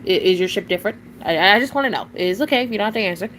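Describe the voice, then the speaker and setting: A woman's voice: mid-range voice, expressive, racing, fairly bright tone, a little hiss or hum. A nineteen-year-old woman streaming live, rambling casually, chatting with viewers and often giggling and restarting her sentences.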